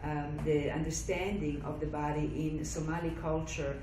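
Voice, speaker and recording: A woman's voice: low voice, level tone, moderate pace, dark tone. A woman moderating a discussion, talking hesitantly with fillers between phrases. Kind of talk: leading a Q&A discussion. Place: lecture room. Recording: roomy lecture room, mic far off, background noise.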